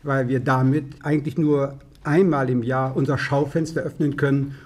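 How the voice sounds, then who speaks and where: A man's voice: medium pitch, steady tone, normal speed, a little mellow. A man talking as a publisher guest in an old radio interview, courteous and wordy in his explanations. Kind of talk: formal conversational interview. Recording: 1950s archive radio recording.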